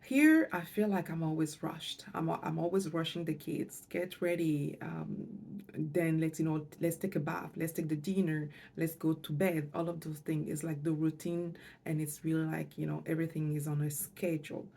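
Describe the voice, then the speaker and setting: Casting: middle-aged female vlogger, mother tongue, deep warm voice, hesitant and reflective delivery. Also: steady tone, moderate pace, creaky, few pauses. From Canada, moved there with parents, lived in Montreal. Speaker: financial coach and vlogger. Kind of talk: personal vlog talk to camera.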